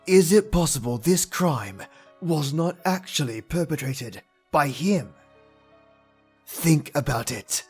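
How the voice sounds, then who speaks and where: A man playing a grave investigator in a game dub, narrating a grisly case in a measured, formal, brooding way with a husky voice. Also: fairly high pitch, lively intonation, a little mellow, faint music behind, heavily acted.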